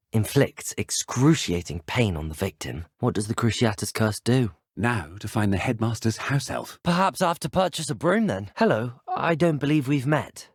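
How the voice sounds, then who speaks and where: Young wizard student in a video game, polite n earnest, husky voice, really animated intonation. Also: male voice, mid-range voice, no room sound, studio quality. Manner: courteous, well-spoken, formal phrasing. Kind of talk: acted video game dialogue clips.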